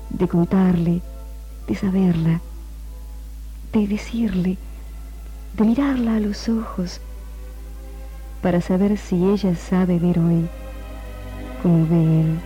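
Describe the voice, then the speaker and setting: An actress playing a tender, regretful lover voicing an intimate confession letter in a 1960s radio drama. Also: female voice, on the low side, mellow, lightly acted. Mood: longing, hushed. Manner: slow, intimate, breaking into near-whisper. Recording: muffled 1960s radio recording, music underneath. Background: faint music.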